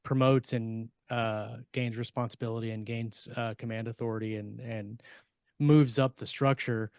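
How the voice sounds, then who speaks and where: Middle aged guy chatting on a podcast, halting delivery, normal pitch, dry sound. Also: fairly even intonation, a little mellow, smooth, no room sound. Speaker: podcast co-host. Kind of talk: casual panel discussion of books. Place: indoor room on a video call.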